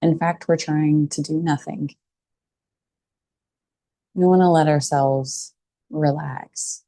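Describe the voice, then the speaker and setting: Calm lady leading a guided meditation, soft even pitch, dry close sound, takes her time. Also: no echo. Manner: calm, soothing, unhurried with long pauses. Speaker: meditation teacher.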